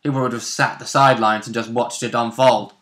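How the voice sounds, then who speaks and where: A man of medium pitch with a bright, sharp edge to his voice. He chats casually as a role-playing player and co-host. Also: fairly even intonation, fairly quick.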